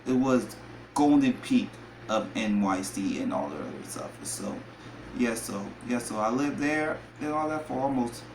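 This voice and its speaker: A man in his thirties from New York, hosting a solo podcast and talking in a rambling way with many repeated filler phrases. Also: mid-range voice. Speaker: podcast host. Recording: echoey room, faint background noise.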